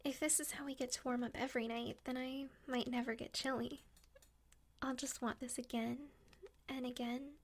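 Woman, high voice, touches of creak, breathy whispering, affectionate, intimate partner roleplay. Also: level tone, normal speed. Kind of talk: intimate erotic audio roleplay, addressing a partner.

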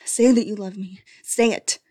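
A woman voicing an unstable, possessive female lover in an audio roleplay, speaking in a breathy, often whispered voice. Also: medium pitch, fairly even intonation, warm tone, dry recording, clean studio sound, lightly acted. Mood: desperate pleading. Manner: slow, intimate delivery that swings to desperate pleading.

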